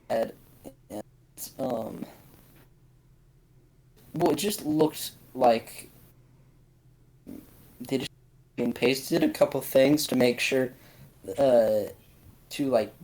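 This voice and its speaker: Blunt man with a mid-pitched voice. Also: takes it slowly, gravelly, long pauses, darkish tone, poor audio. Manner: blunt, dismissive, repeats points for emphasis. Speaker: podcast panelist. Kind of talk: casual chat, opinionated movie discussion.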